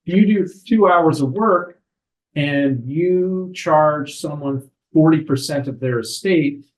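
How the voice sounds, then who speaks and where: A man, an attorney, explaining legal matters in a calm, measured way during a podcast conversation. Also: on the high side, poor audio. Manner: measured, explanatory, frequent "right?" tags. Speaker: estate attorney.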